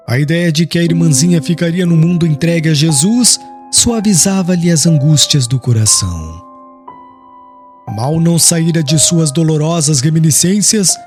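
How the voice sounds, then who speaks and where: A man's voice: a little high, darkish tone. A man reading an audiobook as dramatic, solemn scripted narration in a literary manner. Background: music.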